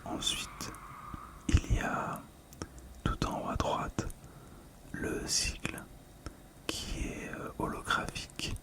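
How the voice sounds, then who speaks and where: A middle-aged ASMR host talking through a collectible in a calm, gentle, hesitant way, close to the microphone. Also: takes it slowly, pauses often. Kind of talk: whispered ASMR commentary.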